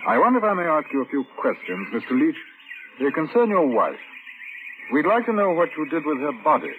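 An actor playing a composed British chief inspector in a radio drama, courteous, formal and measured. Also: male voice, fairly high pitch, wildly swooping pitch, a little hiss or hum. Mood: polite, probing. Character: composed, polite British police chief inspector, narrating his case. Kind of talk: old-time radio drama acting and narration. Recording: dull vintage radio recording, loud music.

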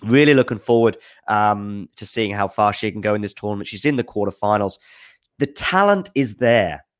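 A man's voice: on the low side, animated, moderate pace. A man hosting a tennis news show, answering viewer questions in casual, off-the-cuff spoken commentary, thinking aloud with enthusiasm.

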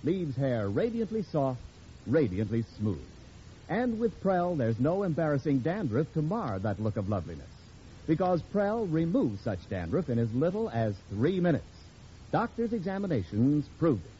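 A male announcer from vintage radio, selling with polished emphasis. His mellow, fairly high voice rises and falls freely on a muffled old recording. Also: very animated, moderate pace, a little hiss or hum. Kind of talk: 1940s scripted radio advertising.

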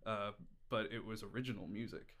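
A man's voice: lowish voice, a little fast, fairly bright tone. A man chatting casually as a podcast guest, telling personal stories in a candid, irreverent, rambling way. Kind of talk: casual conversational storytelling.

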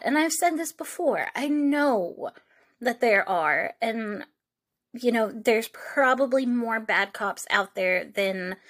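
Slow-paced woman telling true crime conversationally. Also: mid-range voice, a bit bright. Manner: informal, reflective, halting phrasing. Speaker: true crime podcast host.

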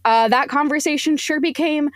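A woman's voice: high voice, normal speed. A woman from Arizona speaking as a podcast guest in casual interview chat, opinionated, wry and sweary. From Arizona, United States.

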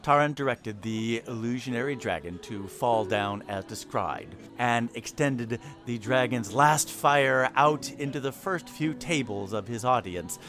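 A man's voice: medium pitch, unhurried, hardly any pauses. A man narrating a scripted fantasy audio drama in the role of storyteller. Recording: clear music behind the voice. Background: music.

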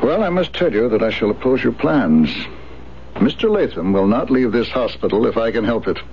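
Patronizing psychiatrist, formal and firm, very varied intonation, vintage radio drama. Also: male voice, mid-range voice, very animated, brisk, vocal fry. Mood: grave, firm. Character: stern, condescending male asylum psychiatrist. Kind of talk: 1970s radio drama acting. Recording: muffled, faintly noisy 1970s radio broadcast.

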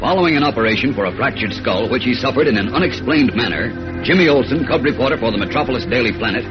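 A man's voice: mid-range voice, expressive, fast, music in the background. A man narrating a 1940s radio serial in a dramatic, scripted style. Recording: muffled old radio recording.

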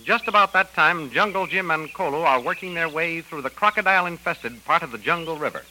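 A man's voice: on the high side, animated, brisk, sharp tone, poor audio. A man playing the narrator of an old radio adventure serial, in dramatic announcer style. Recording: hissy old radio recording.

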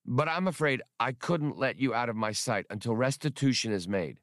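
A man voicing a small, furry alien debt collector in an audiobook, squeaky, fussy, officious and stubbornly insistent. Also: normal speed, fairly bright tone, no echo, clean studio sound, natural voice. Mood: nervously firm.

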